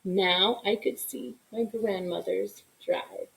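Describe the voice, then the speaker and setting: A woman reading a children's story aloud in an expressive style, with deliberate, dramatic emphasis. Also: mid-range voice, vocal fry, poor audio. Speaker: storybook reader.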